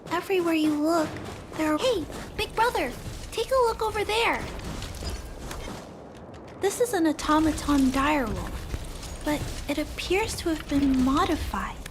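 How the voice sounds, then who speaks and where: A woman voicing a gentle, earnest little girl in a video game, speaking softly and politely. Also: high voice, lively intonation, unhurried, long pauses, noisy background. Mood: gentle, cautious. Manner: soft-spoken, polite, earnest. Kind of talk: scripted video game acting.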